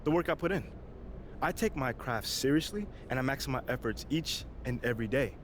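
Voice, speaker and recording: A man's voice: mid-range voice, expressive, fairly quick, lightly acted. Game cutscene: man as a young rookie hooper, casual, easygoing, noisy capture. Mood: composed. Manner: casual, laid-back, agreeable. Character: young male rookie pro basketball player, easygoing. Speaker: rookie basketball player. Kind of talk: video game cutscene acting.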